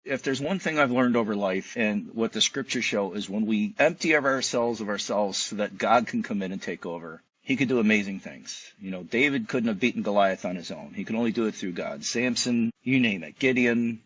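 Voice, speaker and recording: A man with a husky voice speaking conversationally as an interview guest, giving personal testimony as a writer. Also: fairly low pitch, fairly quick, few pauses, fairly bright tone, poor audio.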